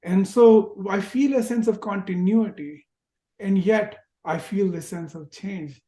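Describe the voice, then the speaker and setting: Indian-accented man, mellow reflective teacher. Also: high voice, unhurried. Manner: reflective, gentle, with rhetorical questions. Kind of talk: spiritual lecture to an online class. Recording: roomy echo.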